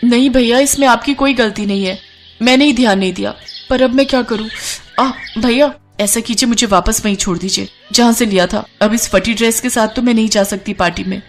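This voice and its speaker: A woman's voice: fairly high pitch, brisk, faint music behind, lightly acted. A woman voicing an anxious, ambitious young model in a cartoon story, dramatic and easily flustered. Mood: doubtful.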